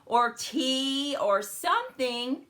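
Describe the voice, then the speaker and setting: A woman's voice: high voice, animated, extremely slow, bright, forceful tone. A woman hosting a live card-making stream, chatty and welcoming, her voice a little crackly after the flu. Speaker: host of a card-making channel. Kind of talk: live stream chat and crafting demo.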